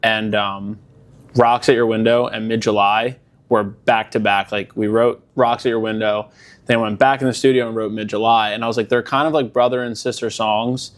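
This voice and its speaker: A young man from Delaware County, Pennsylvania, a musician guest in a casual interview, talking in a relaxed, rambling way with lots of fillers. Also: lowish voice, moderate pace.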